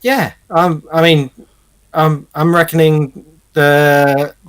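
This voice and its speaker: A man's voice: fairly high pitch, steady tone, takes it slowly, a bit bright, clear, resonant tone, dry recording. A man chatting casually as a co-host on a radio show. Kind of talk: casual chat.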